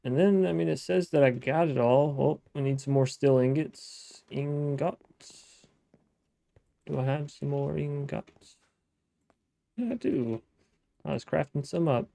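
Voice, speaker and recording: Gravelly man chatting through a game. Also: fairly high pitch, measured pace, pauses often, dark tone, dry recording. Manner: chatty, thinking aloud, frequent restarts and self-talk. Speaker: gaming let's-play host. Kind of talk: casual gameplay commentary.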